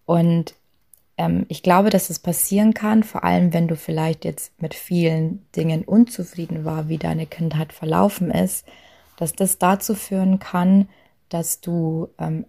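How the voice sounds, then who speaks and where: A young woman from Lower Bavaria with a light Bavarian accent, chatty and full of fillers, giving a talk on authenticity at work. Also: fairly even intonation, measured pace, creaky. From Lower Bavaria, Germany. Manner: chatty, frequent fillers, soft laughs.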